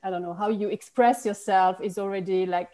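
A woman speaking English answers as an interview guest in a mellow, warm voice. She thinks aloud, circling back with fillers and restarts as she talks. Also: mid-range voice, fairly even intonation, fairly quick. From Switzerland. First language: French. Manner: reflective, rambling with many restarts and fillers. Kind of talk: casual conversational interview.

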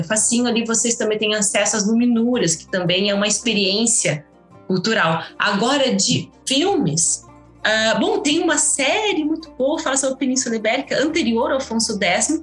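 A woman's voice: fairly high pitch, animated, normal speed, fairly bright tone, faint music behind. Woman rambling in an echoey room. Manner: rambling with many restarts and fillers. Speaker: guest historian. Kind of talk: casual interview talk.

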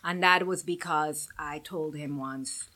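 A woman's voice: deep voice, steady tone, slow, pushed and bright. A woman in her forties from Guyana with a Guyanese accent, a guest talking candidly and earnestly in an interview.

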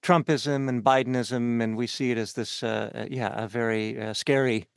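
A man from Canada hosting a podcast interview, asking long earnest questions with many halting fillers. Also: medium pitch, steady tone, gravelly, clean tone, dry recording, studio quality.

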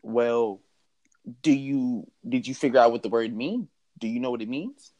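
A man's voice: mid-range voice, normal speed, warm tone, muffled recording, natural voice. A man telling a story voices a naive thirty-year-old man with an inner child, blurting and repeating himself. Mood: baffled, curious. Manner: pleading, repetitive, blurting.

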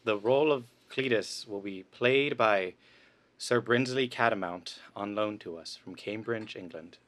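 Man playing a pompous, forceful radio director. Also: lowish voice, measured pace. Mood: solemn. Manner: pompous, theatrical, imperious. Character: pompous, grandiose male radio artistic director, easily exasperated. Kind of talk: comedy radio play acting.

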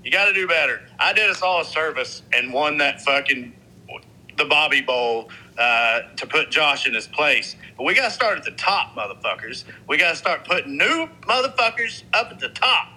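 A man's voice: a little high, very animated, normal speed, bright, forceful tone, rough recording. A man hosting a profane league recap in a brash, announcing way. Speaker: host.

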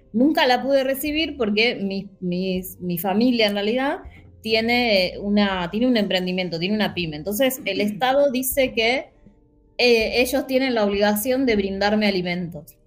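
An Argentine woman with a Rioplatense accent, speaking earnestly in a casual panel discussion with frequent fillers. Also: medium pitch. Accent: Rioplatense Spanish. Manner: earnest, animated, many fillers.

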